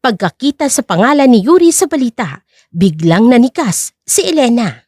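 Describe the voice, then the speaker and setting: Woman for dramatic story narration; high, animated, recorded close and dry. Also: normal speed, breathy edge, no echo.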